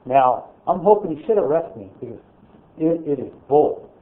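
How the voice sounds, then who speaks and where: A man speaking loudly and bluntly during public comment at a board meeting, recounting a grievance. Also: on the high side, big pitch swings, moderate pace. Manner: loud, blunt, aggrieved storytelling. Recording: tinny phone-like meeting audio.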